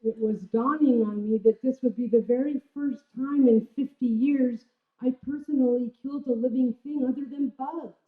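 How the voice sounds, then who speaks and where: A woman gives an expressive, deliberately paced literary reading of a memoir at a live stage event. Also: sits high. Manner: expressive storytelling with deliberate pauses and emphasis. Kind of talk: dramatic literary reading before a live audience. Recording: roomy, thin phone-like sound of live stage reading.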